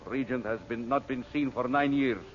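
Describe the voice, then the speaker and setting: A man's voice: medium pitch, normal speed, slight background noise, natural voice. Man acting an imperious radio-serial villain, muffled old recording. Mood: commanding. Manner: commanding, formal, clipped orders. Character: imperious scheming male master villain.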